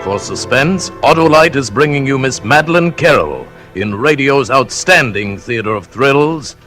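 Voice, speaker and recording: An American man making formal, measured, dramatic announcements in 1940s radio style, on an old broadcast recording. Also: medium pitch, animated. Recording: old radio broadcast, loud music behind.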